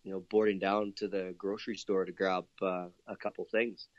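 A man's voice: sits low, level tone, normal speed, dry recording, lo-fi sound. A man from Canada, a guest in a casual interview, upbeat and chatty with plenty of fillers and laughter.

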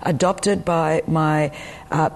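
A woman's voice: low voice, steady tone, slow pace. A woman in her forties with an Australian accent, Greek her first language, earnestly recounting her life as an interview guest. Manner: earnest, personal, frequent ums. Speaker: ministry leader, guest.

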